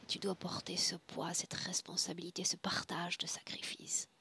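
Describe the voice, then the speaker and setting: A woman guiding a trance session in a solemn, deliberate, commanding way, often dropping to a breathy hush. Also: fairly low pitch, completely flat delivery, whispered. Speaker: spiritual hypnosis session guide. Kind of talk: guided trance session, slow solemn address.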